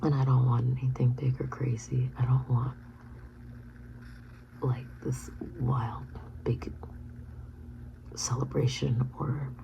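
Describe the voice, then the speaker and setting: Woman in her 30s whispering slow and low, gravelly, noisy background hiss. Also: little pitch movement, muffled recording. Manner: whispering softly, halting and reflective. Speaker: vlogger. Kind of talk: whispered personal vlog.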